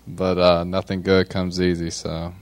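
A man from North Carolina, giving a halting, reflective personal testimony. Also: deep voice, monotone, clear, resonant tone.

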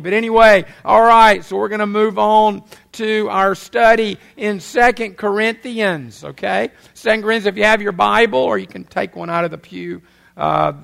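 A man's voice: high voice, creaky, a bit bright. An older man, preaching a sermon in a folksy, chatty way with many uhs while he has a head cold. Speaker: pastor.

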